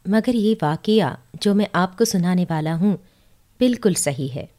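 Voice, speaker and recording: A woman tells a literary story in the first person as a narrator. Her voice is mid-pitched and very mellow, with a soft dark warmth to the tone.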